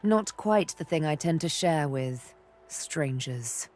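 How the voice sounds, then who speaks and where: A woman's voice: low voice, lively intonation, takes it slowly, darkish tone. A woman voicing a sly elf rogue in a video game, playful and flirtatious with a theatrical, threatening edge. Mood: sly, wry. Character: sly elf woman rogue, former slave, teasing and menacing. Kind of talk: video game character acting.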